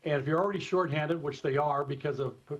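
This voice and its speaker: A man with an American accent explaining things informally and in measured fashion as an expert guest in a live interview, over a remote feed. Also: on the high side. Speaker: expert guest, former airport communications director. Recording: dull, slightly lo-fi remote feed.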